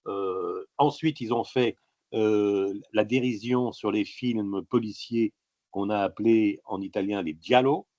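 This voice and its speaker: A man being interviewed as an author, telling stories in a halting, digressive way with many filler sounds. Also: on the low side, warm tone, no room sound, lo-fi sound. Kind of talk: casual interview talk.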